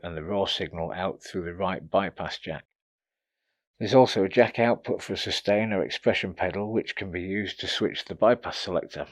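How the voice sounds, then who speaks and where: A man giving a casual, informal product review, explaining features and tips in a slightly hesitant way. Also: low voice. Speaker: gear reviewer presenting a demo.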